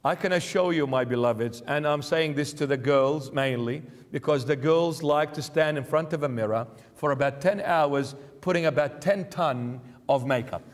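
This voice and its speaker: High-voiced preacher man in a reverberant church. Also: moderate pace, hardly any pauses. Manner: emphatic, rhetorical questions and repeated lists, gestures broadly. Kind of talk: sermon commentary. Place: church sanctuary, indoors.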